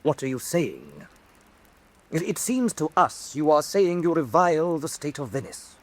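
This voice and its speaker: A man's voice: fairly high pitch, big pitch swings, measured pace. An actor playing a formal, probing tribunal interrogator in a historical radio drama, articulate and insistent in his questioning. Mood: persuasive, insistent.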